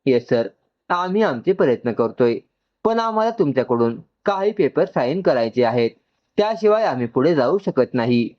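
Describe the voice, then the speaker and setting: A businesslike male doctor in an audio story, voiced by the narrator, speaks formally and directly. His voice is mid-pitched, on a dull recording. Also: big pitch swings, moderate pace, close to an everyday voice. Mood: grave, businesslike.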